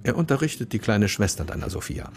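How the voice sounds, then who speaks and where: An older man playing a calm, persuasive police chief and father in a radio play, speaking with authority. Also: on the low side, fast, soft background music.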